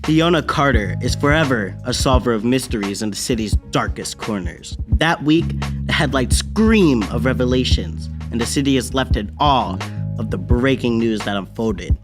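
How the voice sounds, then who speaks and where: A man's voice: medium pitch, expressive, normal speed. A young man giving a dramatic scripted narration for an amateur radio mystery. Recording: music under the voice.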